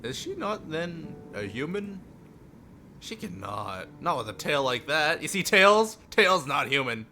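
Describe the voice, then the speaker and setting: Theatrical man with a very bright voice. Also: on the high side, very animated, a little slow, slight background noise. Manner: theatrical, playful. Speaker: livestreamer reading a visual novel aloud. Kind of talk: dramatic read-aloud with jokey asides. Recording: music behind the voice. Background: faint music.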